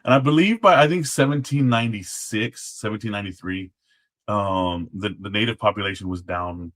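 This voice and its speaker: Low-voiced man explaining history casually. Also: moderate pace, fairly bright tone. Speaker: guest, former Alamo guard.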